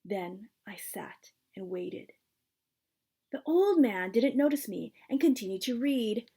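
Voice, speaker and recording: A woman's voice: medium pitch, lively intonation, slow, vocal fry, mellow, husky. A woman reading aloud from a children's storybook as its author, in a narrative style. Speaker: author reading their book.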